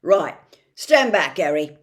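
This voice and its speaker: A woman's voice: fairly low pitch, big pitch swings, slow, voice pushed down. A woman voicing a huge friendly man who talks in a blunt, hearty, homely way.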